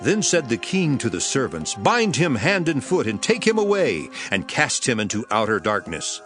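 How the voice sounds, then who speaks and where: A man's voice: medium pitch, wildly swooping pitch, moderate pace, music in the background. An actor playing a grave, authoritative male teacher in a dramatized scripture reading, speaking solemnly and emphatically. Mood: emphatic storytelling.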